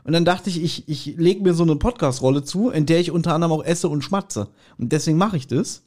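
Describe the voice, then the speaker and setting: A man with a fairly high-pitched voice hosts a casual podcast. He talks in long, rambling anecdotes, delivered with mock grievance as easy conversational chat. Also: quick pace, darkish tone. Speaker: podcast host.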